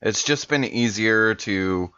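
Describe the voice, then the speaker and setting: A man's voice: on the low side, slow pace, pushed and bright, smooth. A man playing an easygoing, friendly musician in a tabletop game, casual and laid-back, on dull muffled audio.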